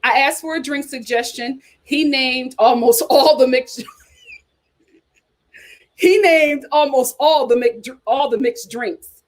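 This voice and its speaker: A woman's voice: sits high, takes it slowly. An American woman hosting a casual chat show, reading aloud and commenting with playful, teasing asides. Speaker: host of a reality TV chat show.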